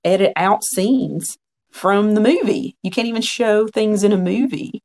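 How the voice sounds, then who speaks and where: A man in his forties speaks with a gravelly, fry-laden voice. He tells his story as a podcast guest in a frank, earnest way, often voicing his own past thoughts. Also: high voice, a little slow, a little mellow. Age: 40s. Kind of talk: conversational storytelling.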